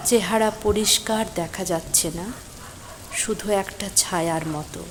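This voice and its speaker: A woman playing a stranded, concerned young woman who narrates her own mystery story, emotive and suspenseful, with deliberate pauses. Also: medium pitch, noisy background, lightly acted. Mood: suspenseful, weary. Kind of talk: scripted first-person dramatic story narration. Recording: voice over rain and thunder ambience.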